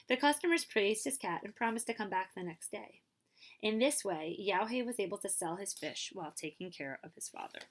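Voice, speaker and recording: A woman reading a children's story aloud in an expressive, animated way, as a librarian would. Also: mid-range voice. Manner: expressive, with commentary asides to listeners.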